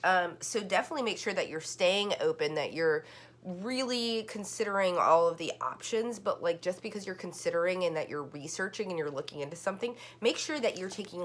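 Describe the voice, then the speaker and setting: A woman's voice: on the low side, normal speed. A woman giving a casual online tarot reading, rambling and full of "like" fillers and restarts. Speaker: tarot card reader.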